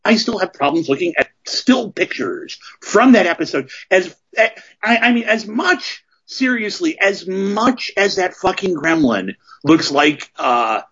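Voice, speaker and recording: Husky forty-something man, rambling chat. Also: sits high, sing-song, a little slow, few pauses, fairly bright tone, dull audio. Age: 40s. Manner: rambling, many fillers and restarts. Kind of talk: casual podcast discussion.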